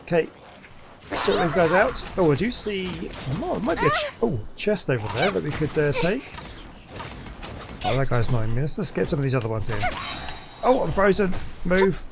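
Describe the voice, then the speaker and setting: A man's voice: high voice, big pitch swings, moderate pace, gravelly, background noise. A man giving casual running commentary on a game, half talking to himself as he plays. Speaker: gaming YouTuber. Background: game music.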